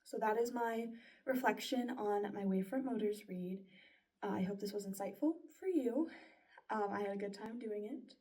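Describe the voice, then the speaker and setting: A woman's voice: medium pitch, normal speed, mellow, far from the mic. A young woman, a broadcasting student, reflecting aloud on her own work in an earnest, chatty way with plenty of likes and sos. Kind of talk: casual spoken self-reflection.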